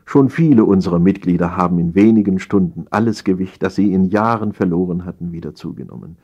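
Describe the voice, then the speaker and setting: A man plays a paternal diet lecturer in a comic storybook. The voice is low and husky, with a solemn, soothing, measured delivery. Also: warm tone, muffled recording. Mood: fatherly consoling. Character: fatherly male diet lecturer, patient and solemn.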